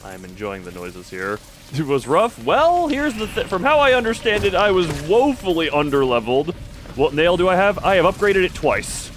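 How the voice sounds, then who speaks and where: Male game streamer, highish and lively pitch, casual and self-mocking, stammering rambles, noticeable background noise. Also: moderate pace. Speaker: livestreamer playing a video game. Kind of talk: casual live gameplay commentary with chat replies.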